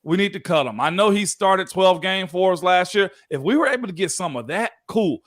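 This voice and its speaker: High-voiced laid-back man. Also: animated, fairly bright tone, no room sound, clean studio sound. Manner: laid-back, chatty, drawn-out phrasing. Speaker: co-host, former football player. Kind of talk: casual sports talk.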